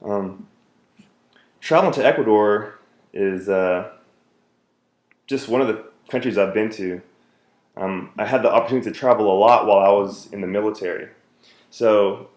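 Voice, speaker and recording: A man's voice: lowish voice, a little mellow, roomy sound. A man in his thirties, from the United States, giving an earnest self-introduction speech for a class, with many fillers and restarts.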